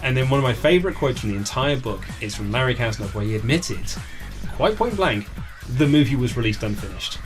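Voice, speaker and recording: A man's voice: medium pitch, animated, brisk. British man chatting over background music. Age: 30s. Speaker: interview guest, author. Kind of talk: casual anecdotal interview chat. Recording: music playing behind the voice.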